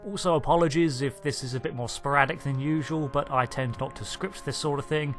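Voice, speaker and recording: A man with a nasal, croaky voice and a sore throat, chatting unscripted as he reviews a trailer and thinks aloud with little asides. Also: fairly high pitch, fairly quick, faint music behind. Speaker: video host reviewing a trailer. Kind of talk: unscripted casual commentary.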